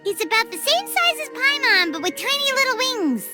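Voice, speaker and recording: A very high, squeaky, childlike voice for a perky little floating fairy in a video game. The intonation bounces wildly and chirps along chattily. Music plays clearly behind it. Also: female voice, big pitch swings, moderate pace. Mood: curious. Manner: perky, chirpy, refers to self in third person. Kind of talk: video game cutscene acting.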